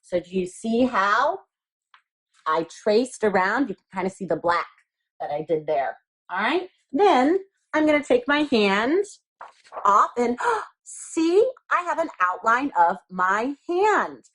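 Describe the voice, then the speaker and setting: A woman teacher walks small children through a hands-on craft. Her delivery is very slow and patient, with a lively, swooping melody. She keeps each instruction simple and spells it out step by step. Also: on the high side.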